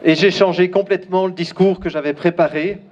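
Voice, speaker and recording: A man from Belgium with a Belgian French accent, giving an emphatic, declamatory speech at an outdoor rally and pausing often. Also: high voice, steady tone, darkish tone, lo-fi sound, some chatter behind, slight background noise. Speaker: rally speaker. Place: outdoor crowd gathering.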